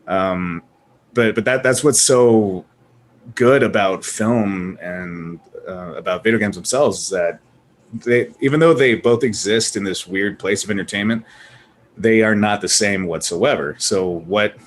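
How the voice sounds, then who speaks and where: Low-voiced man moderating a chatty panel. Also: measured pace, slight background noise. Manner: animated, laughing, rambling with restarts. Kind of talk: casual panel chat.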